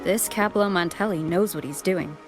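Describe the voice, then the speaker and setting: A woman playing a composed, wary video game protagonist, speaking in a measured, reflective way. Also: on the low side, over music, natural voice. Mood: intrigued. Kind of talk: video game character acting.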